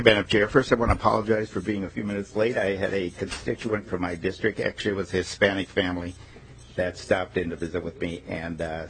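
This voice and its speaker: A man's voice: fairly low pitch, a little hiss or hum. A male state legislator introducing himself at a committee meeting, folksy and emphatic with joking asides.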